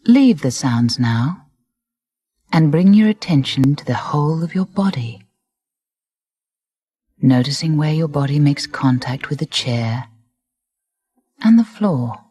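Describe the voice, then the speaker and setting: A woman narrating a guided meditation in a soft, breathy voice, soothing and deliberate, with gentle phrasing and pauses. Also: deep voice, expressive, dry recording, clean studio sound. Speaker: relaxation guide. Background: music.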